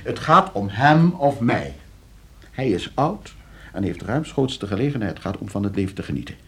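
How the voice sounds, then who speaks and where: Suave scheming nephew, swooping husky voice. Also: male voice, on the low side, very animated, moderate pace, exaggerated voice. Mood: calculating, suave. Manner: suave, condescending, calculating. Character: scheming, debt-ridden nephew coolly arranging his uncle's murder. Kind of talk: Dutch radio play acting.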